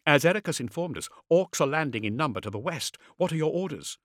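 A man voicing a dutiful, respectful castellan in an audiobook, reporting briskly and urgently like a soldier. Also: a little high, animated, a bit bright, dry recording, clean studio sound, pitched above the performer's own voice. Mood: respectful urgency. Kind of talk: audiobook character acting.

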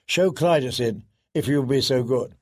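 A man voicing a serious young lord commander in an audiobook, measured and dry in delivery. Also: medium pitch, lightly acted.

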